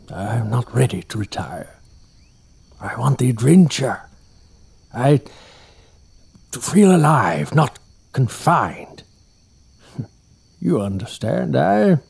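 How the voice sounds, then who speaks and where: A man playing a gravelly scheming old scholar. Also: medium pitch, warm tone, heavily acted. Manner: formal, theatrical monologue. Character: scheming aging male scholar, obsessive. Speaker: doctor employer. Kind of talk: audio drama acting.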